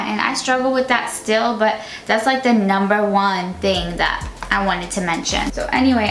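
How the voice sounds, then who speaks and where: A woman in her twenties chatting casually through a makeup tutorial, with a lot of "like" fillers. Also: fairly high pitch. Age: 20s. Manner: chatty, uses "like" often. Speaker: beauty vlogger. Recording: echoey room with music behind.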